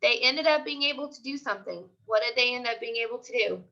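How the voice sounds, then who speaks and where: High, bright-voiced woman teacher, slightly muffled audio. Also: fast talker. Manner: instructional, repeats questions and phrases to pupils. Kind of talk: storybook read-aloud and class questioning. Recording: dull lo-fi audio.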